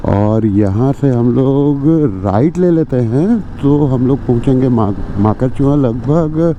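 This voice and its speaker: A man's voice: medium pitch, takes it slowly, mellow. An Indian man talking casually and informally to his viewers as a motorcycle travel vlogger, recorded outdoors. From rural India. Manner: informal, addresses viewers as brothers. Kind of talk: casual vlog talk to viewers. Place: outdoors, village roads and roadside eatery. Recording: muffled, dull sound with outdoor noise.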